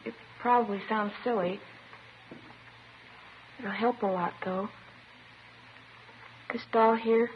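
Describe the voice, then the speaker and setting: A childlike, detached teenage murderess. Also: female voice, fairly high pitch, steady tone, takes it slowly, long pauses. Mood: pleading, childlike. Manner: plaintive, childlike, matter-of-fact about killing. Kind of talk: 1950s radio drama acting. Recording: dull, noisy old radio recording.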